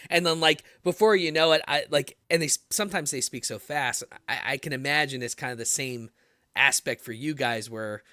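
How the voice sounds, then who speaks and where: A man from the US Northeast hosting a podcast interview, talking fast, loud and direct in a casual way. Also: mid-range voice, no room sound. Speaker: podcast host.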